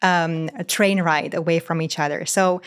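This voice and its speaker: A woman's voice: lowish voice, fairly even intonation, normal speed, clear, resonant tone. A woman from Romania, hosting an interview in a fluent, engaged conversational way. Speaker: interview host.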